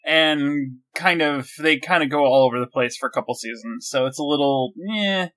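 Bright-voiced laid-back podcast man. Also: a little high, normal speed. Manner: laid-back, dry, short answers. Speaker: podcast co-host. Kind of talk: casual podcast chat.

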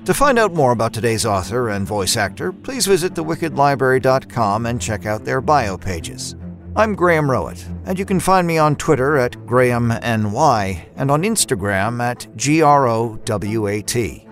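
A man's voice: mid-range voice, sing-song, moderate pace, soft background music. A man hosting a podcast, reading scripted credits and promotion. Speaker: podcast host.

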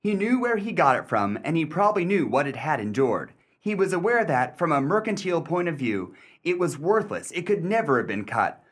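Man playing a polite, skeptical, animated gentleman adventurer. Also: on the high side. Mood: dry, reflective. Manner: articulate, formal, measured. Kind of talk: radio play acting and narration.

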